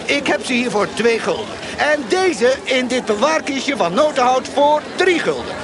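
A grandiose street hawker in a radio drama, pitches his wares in a very high voice that leaps about. He declaims and repeats himself slyly over a busy crowd. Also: big pitch swings, moderate pace. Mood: grandiose, coaxing. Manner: declamatory, repeats words for effect. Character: fast-patter market quack hawking miracle stones, grandiose and sly. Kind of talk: radio play acting, street sales pitch. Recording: busy outdoor crowd behind.

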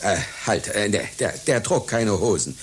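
An actor playing a vain, touchy aging clown in a satirical radio play, pompous and indignant, rambling hesitantly. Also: male voice, lowish voice, lively intonation, moderate pace, slight background noise, natural voice. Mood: confused, grumbling. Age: older. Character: vain, touchy aging male clown, self-styled great artist.

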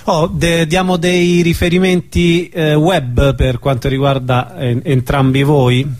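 A man's voice: a little high, little pitch movement, slow pace, a little mellow. A man hosting a casual radio interview, asking questions in a hesitant, informal way. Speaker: radio host.

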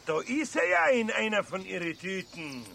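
A man's voice: sits high, very animated, moderate pace, gravelly, sharp tone, full character voice. A man playing a wry, good-natured older master carpenter in a children's radio play, speaking colloquially with a Bavarian accent. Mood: wryly needling. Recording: faintly noisy 1970s radio recording.

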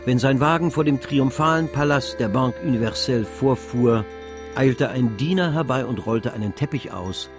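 A composed man acting as a male storyteller narrates a literary radio drama. His voice is medium in pitch, with music clearly behind it. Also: natural voice.

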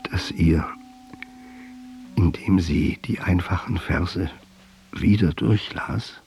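An actor playing a pensive author, narrating a literary audio drama in a measured, contemplative way. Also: male voice, low voice, creaky, darkish tone, full character voice. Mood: measured, wistful. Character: pensive male author telling his tale. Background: music.

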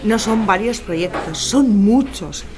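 An actress playing a flustered, eager woman screenwriter in radio theatre, with a nervous, halting delivery. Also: mid-range voice, animated, crowd noise, rough recording. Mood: excited, nervous. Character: flustered, overexcited woman head screenwriter brimming with ideas.

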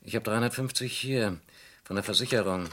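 An actor playing a calm, persistent investigator in a German radio crime play, questioning in a measured, polite and insistent way. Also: male voice, fairly low pitch, slight background noise, lightly acted. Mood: urgent, polite.

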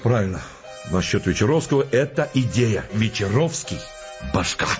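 An actor playing a blustering, hot-tempered and bossy scientist in a Russian audio drama, talking forcefully and insistently. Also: male voice, lowish voice, very animated, moderate pace, lo-fi sound, soft background music.

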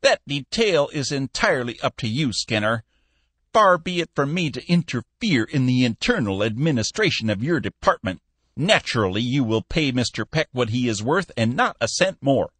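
A man reading an audiobook, voicing a shrewd, meddling old shipping boss in a high, purring, amiably sly voice. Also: very animated, normal speed, fairly bright tone, dry recording. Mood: sly amiable.

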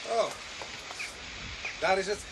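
An actor playing a dutiful police officer in a radio drama, deferential and earnest toward a superior. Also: male voice, sits high, takes it slowly. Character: dutiful male police officer serving under an inspector. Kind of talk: Dutch radio drama acting. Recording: noisy soundtrack, partly off-mic.

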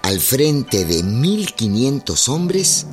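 A man narrating revolutionary history for a radio drama in a measured, formal, solemn way. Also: mid-range voice, very animated. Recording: loud music bed under narration.

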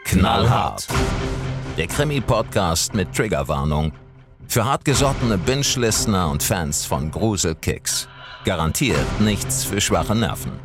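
A man's voice: low voice, very animated, a little slow. A man announcing a scripted podcast advert in a punchy, dramatic style. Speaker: promo announcer. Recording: loud music under the voice. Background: loud music.

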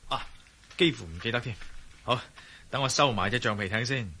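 An actor playing a resolute, self-assured ex-soldier adventurer who thinks aloud in a radio drama. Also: male voice, mid-range voice, brisk, bright, forceful tone, close to an everyday voice. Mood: self-talking, relieved. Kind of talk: radio drama dialogue and spoken-thought monologue. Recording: radio drama with noisy ambience.

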